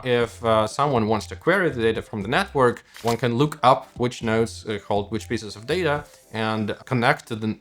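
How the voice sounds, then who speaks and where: A man from Russia with a Russian accent, a native Russian speaker, explaining technology as a startup co-founder in a halting, hesitant way. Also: on the low side, fairly bright tone. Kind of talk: explanatory interview talk about technology.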